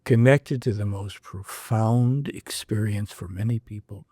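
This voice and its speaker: A man's voice: fairly low pitch, a little slow, warm tone, husky, no room sound, studio quality. A man hosting a podcast, reading a prophetic text aloud and breaking off with his own commentary and rhetorical questions. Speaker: podcast host. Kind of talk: reading aloud and casual discussion.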